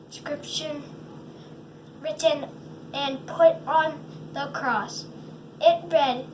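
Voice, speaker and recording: Female lay reader, high voice, slow halting scripture delivery, noisy recording. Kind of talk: scripture reading. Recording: clearly noisy background.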